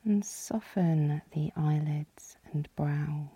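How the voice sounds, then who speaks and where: A woman leading a guided yoga nidra relaxation as the guide, calm and soothing, with a soft, breathy, husky voice. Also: low voice, slow, no echo. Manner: calm, soothing, gently instructing.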